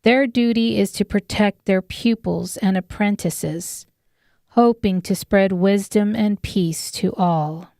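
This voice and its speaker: A woman's voice: mid-range voice, takes it slowly, vocal fry, mellow, no room sound, studio quality. A woman narrating a scripted fantasy audiobook as its storyteller.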